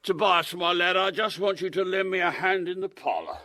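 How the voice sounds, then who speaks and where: An actor playing a shifty, irritable villainous barber in a period audio drama, servile one moment and snappish the next. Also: male voice, high-pitched, brisk. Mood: smooth, fawning. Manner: servile to gentlemen, snappish to his apprentice.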